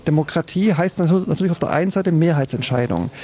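A man with a Swabian accent, a judge answering questions in an interview, speaking haltingly with frequent restarts and fillers. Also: a little high, darkish tone. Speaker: constitutional court judge.

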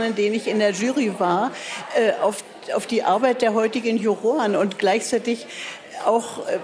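A woman's voice: a little high, a little mellow. Hesitant older woman with a Berlin accent. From East Germany. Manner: hesitant, many fillers and restarts; laconic, self-mocking. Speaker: writer and guest speaker. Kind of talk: interview.

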